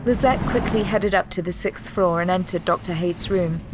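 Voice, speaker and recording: A woman's voice: fairly low pitch, normal speed, background noise. A woman narrator reading scripted dramatic narration for an audio drama.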